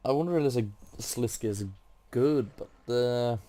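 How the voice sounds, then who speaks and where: Slow, dark-voiced hesitant gamer guy. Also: mid-range voice. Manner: hesitant, thinking aloud, swears freely. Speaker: gaming video maker playing through a quest. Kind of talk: casual game commentary. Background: game music.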